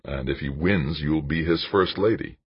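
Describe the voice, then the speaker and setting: A man's voice: very deep voice, normal speed, husky. Audiobook role: bitter jilted man male reader, muttering, bottom-register voice, dull roomy recording. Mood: bitter, grumbling. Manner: grumbling, muttering. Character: adult man, bitter, frustrated jilted lover. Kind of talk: audiobook character dialogue.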